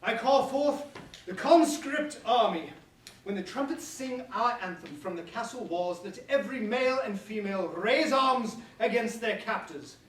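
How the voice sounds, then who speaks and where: A man's voice: sits high, animated, normal speed. A man playing a grandiose, lovestruck young prince in a staged verse comedy, with formal theatrical declamation. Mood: commanding, excited. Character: pompous young prince, grandiose, softened by love. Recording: very echoey room, distant mic, faint noise.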